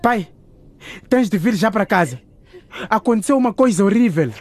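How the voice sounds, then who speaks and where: A man's voice: high-pitched, lively intonation, normal speed, a little mellow. A young man playing an emotional, anxious schoolboy son in a radio drama. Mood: alarmed. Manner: emotional, talking to himself. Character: sulky, anxious schoolboy son, easily alarmed.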